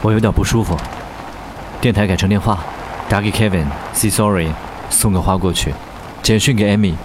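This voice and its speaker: An actor playing a weary, wistful singer in a radio drama, with measured, introspective delivery. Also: male voice, lowish voice, background noise, lightly acted. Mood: weary, curt. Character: weary, wistful male pop singer recalling his past. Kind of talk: radio drama acting with reflective narration. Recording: music bed behind the voice.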